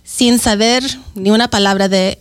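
A woman's voice: medium pitch, fairly even intonation, normal speed, smooth, no echo. A middle-aged woman, speaking as a guest on a radio show, composed with hesitant fillers. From Peru. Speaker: school district parent educator, radio guest. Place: radio studio.

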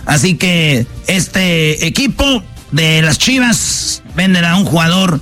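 A Mexican man with a high, light voice hosts a sports talk show. He jokes and teases his co-hosts in loose slang. Faint music plays behind him. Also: big pitch swings, slow, fairly bright tone. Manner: playful, teasing, slangy. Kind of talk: joking sports banter.

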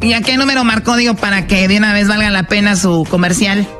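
A man's voice: high voice, fairly even intonation, quick pace, sharp tone, soft background music. A man co-hosting a radio comedy show, teasing and upbeat. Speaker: radio co-host.

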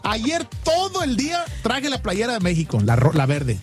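A man with a high voice and a Mexican accent hosts a radio show. He talks in playful slang and casual banter, with music softly underneath. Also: wildly swooping pitch, moderate pace.

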